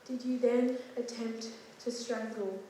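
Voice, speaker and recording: A woman's voice: a little high, fairly even intonation, measured pace, warm tone, a little hiss or hum, close to an everyday voice. A woman playing an impatient interrogating officer with firm, clipped questions, recorded from far off on an echoey stage. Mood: stern. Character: stern interrogating officer losing patience.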